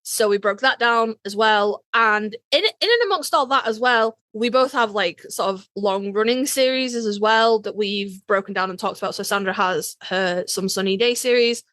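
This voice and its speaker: A woman's voice: medium pitch, normal speed, few pauses, a bit bright, no room sound, studio quality. A woman co-hosting a podcast in casual chat, speaking in an emphatic, informal and playfully blunt way. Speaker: podcast co-host.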